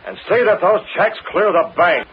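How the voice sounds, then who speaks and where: An actor playing a commanding, threatening masked vigilante in a clipped, tough old-time radio adventure style. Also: male voice, high voice, moderate pace, breathy edge. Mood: stern, commanding. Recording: muffled, noisy old radio recording.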